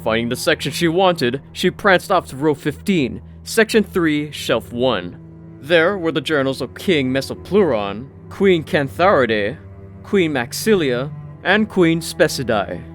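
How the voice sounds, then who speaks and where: A man's voice: on the high side, sing-song, unhurried. A man narrating a scripted fantasy story in the manner of an audiobook. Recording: music behind the voice. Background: background music.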